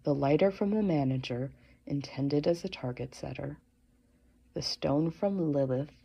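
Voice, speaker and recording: A woman with a hushed, breathy voice giving dramatic scripted narration in a brooding, questioning way. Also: low-pitched, unhurried, muffled recording.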